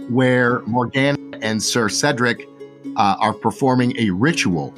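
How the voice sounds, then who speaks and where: A man running a tabletop game as game master, relaxed and full of fillers. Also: medium pitch.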